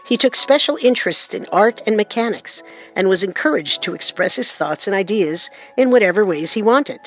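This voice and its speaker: Measured woman narrating a true story. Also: mid-range voice, animated, soft background music, poor audio. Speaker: narrator and co-host. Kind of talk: scripted documentary narration.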